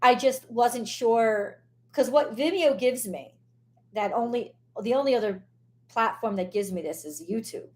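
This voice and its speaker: A hoarse woman hosting a live tarot stream, talking casually and bluntly, swearing and losing her train of thought as she vents. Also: fairly high pitch, a little slow, a little mellow.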